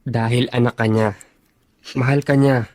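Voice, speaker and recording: A Filipino man acting a calm, supportive companion in a radio drama, reassuring in manner. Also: mid-range voice, a little slow, lightly acted. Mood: calm, explaining.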